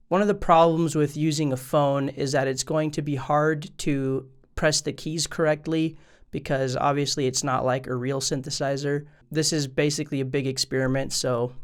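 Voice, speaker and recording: Smooth, higher-voiced man giving a casual tutorial. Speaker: music gear video maker and presenter. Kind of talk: casual tutorial vlog, explaining step by step.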